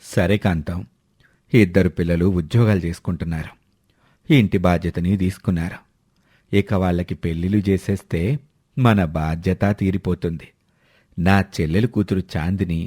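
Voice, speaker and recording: A man's voice: low voice, fairly even intonation, normal speed, dark tone, breathy edge, voice pushed down. A man voicing a mild older father of grown sons in a family story. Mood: content.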